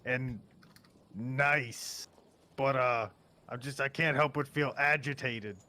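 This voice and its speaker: A man's voice: medium pitch, steady tone, slow pace, pushed and bright. A man voicing an agitated, blunt adventurer who craves coffee, in tabletop roleplay. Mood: grumpy, agitated.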